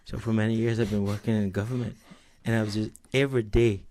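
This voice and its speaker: A man's voice: lowish voice, steady tone, quick pace, mellow, slight background noise. A man speaking as a guest on a radio talk show, hesitant, with many stammers and restarts. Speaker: studio guest.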